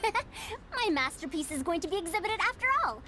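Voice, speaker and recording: A woman's voice: very high voice, very animated, normal speed, very noisy. A woman playing a proud, theatrical scholar and inventor in an anime-style game, lecturing children with patient self-importance. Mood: proud, excited.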